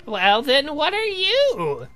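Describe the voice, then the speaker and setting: A man's voice: very high voice, huge pitch swings, slow, pushed and bright, soft background music, pitched above the performer's own voice, heavily acted. A man voicing a naive, nosy, confused young man in a game, blurting out hesitant questions.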